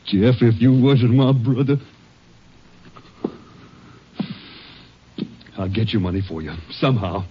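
An American actor playing a stubborn, upright dirt farmer with a folksy drawl in a western radio drama. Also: male voice, mid-range voice, fairly quick, a little hiss or hum, close to an everyday voice. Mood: angry, strained. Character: stubborn, upright Texas dirt farmer, long-suffering brother. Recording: muffled old radio broadcast.

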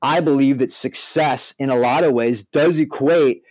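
A man's voice: medium pitch, normal speed, darkish tone, slightly rough, no echo, rough recording. A man co-hosting a podcast in an informal, conversational way. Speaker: podcast co-host, music artist manager. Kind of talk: podcast discussion with some read-out article passages.

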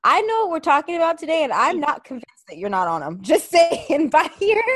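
A woman's voice: sits high, sing-song, fairly quick, dry recording. A woman in her thirties co-hosting a podcast, bantering and telling stories in a blunt, teasing, casual way. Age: 30s. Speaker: podcast co-host. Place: remote video call.

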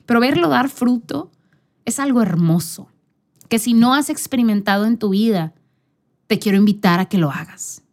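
A woman's voice: on the high side, animated, normal speed, darkish tone. A woman podcast host giving a casual, personal faith talk, conversational and earnest, speaking directly to the listener. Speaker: podcast host and church singer.

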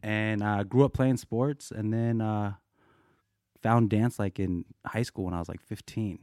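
A man from Colorado recounting his life as a dancer, loose and rambling, sometimes acting out what people said. His voice sits low, and the recording is dry and close. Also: steady tone, measured pace, a little mellow, dry recording. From United States, Colorado. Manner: laid-back, rambling, acts out quotes. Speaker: guest, dancer. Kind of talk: casual storytelling interview.

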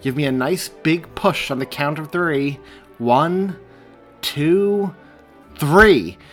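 A narrator doing a firm, steady man. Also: medium pitch, normal speed, soft background music, lightly acted. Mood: urging. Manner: firm and instructive. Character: calm man delivering a baby, steady.

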